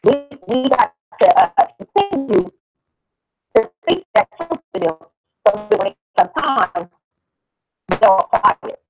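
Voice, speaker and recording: Middle-aged woman, American, creaky and animated, earnest discussion style with a preachy streak. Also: medium pitch, unhurried, pauses often, faint music behind, low-quality recording. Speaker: discussion participant and mother.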